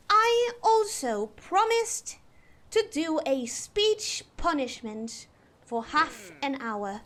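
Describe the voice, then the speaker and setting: A young woman streaming live, chatting in an exaggerated put-on posh British accent with a theatrical, drawn-out delivery. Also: high voice, fairly bright tone, slight background noise. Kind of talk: casual live-stream chat.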